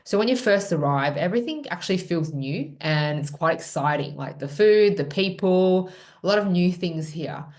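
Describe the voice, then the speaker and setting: Low-voiced woman presenting a webinar. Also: lively intonation, normal speed, darkish tone. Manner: reassuring, explanatory, with fillers. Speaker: student support presenter. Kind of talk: pre-departure orientation webinar talk.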